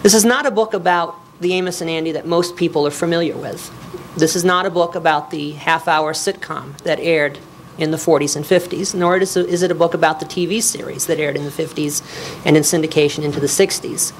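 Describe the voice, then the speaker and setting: A woman's voice: low-pitched, steady tone, brisk. A woman speaking as an author, giving a public lecture on history. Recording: live talk with faint noise.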